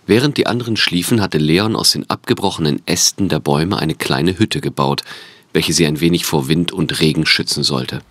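Very deep-voiced male narrator. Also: moderate pace, fairly bright tone, dry recording, studio quality. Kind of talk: scripted audio drama narration.

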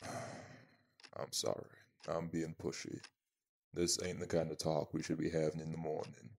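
A man playing a blunt but protective male vampire in an intimate audio roleplay, speaking gruffly and informally. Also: very deep voice, level tone, unhurried, exaggerated voice. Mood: gentle, concerned.

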